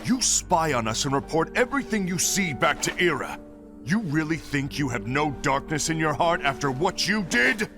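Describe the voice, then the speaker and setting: A stern male leader, voiced by a man in a fan dub, who presses his case firmly, his voice rising and falling with lively pitch. Music plays clearly behind him. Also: normal speed. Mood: accusing. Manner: firm, persuasive, accusing. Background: background music.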